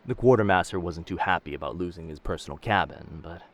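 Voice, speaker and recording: A man playing a dangerous but gentle pirate captain in an intimate roleplay audio drama, protective and commanding, with old-fashioned seafaring speech. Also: deep voice, quick pace. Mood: amused, reassuring.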